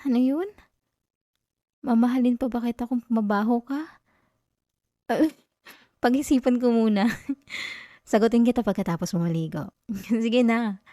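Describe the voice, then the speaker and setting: A woman acts out an affectionate young girlfriend in an ASMR roleplay. Her voice is soft and mellow, with a playful, coaxing manner. It is recorded very dry and intimate. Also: fairly high pitch, unhurried, pauses often, no echo, close to an everyday voice. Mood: playful, caring. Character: affectionate, teasing young live-in girlfriend comforting tired partner.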